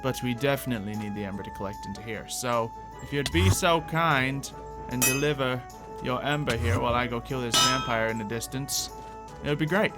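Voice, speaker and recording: A man's voice: medium pitch, fairly even intonation, brisk, few pauses, over loud music. A man doing casual gameplay commentary with some light roleplay, rambling and thinking aloud as he goes. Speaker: gaming video host.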